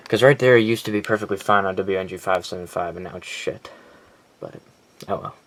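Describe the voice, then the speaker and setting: A man's voice: low-pitched, brisk. A man giving a casual, unscripted showcase of his collection, rambling, correcting himself and speaking bluntly. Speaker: collector presenting weather radios. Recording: clear background noise.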